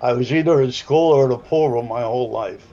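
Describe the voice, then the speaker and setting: Older Chicago-accented pool commentator. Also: male voice, medium pitch, fairly quick, dark tone, highs cut off, some chatter behind. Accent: South Side Chicago. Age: 70s. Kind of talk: rambling color commentary.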